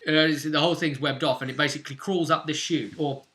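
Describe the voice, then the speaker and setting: A man's voice: a little high, steady tone, quick pace, a bit bright. A British man casually recapping a tabletop role-play session as game master, telling it like a story in loose, broken pieces.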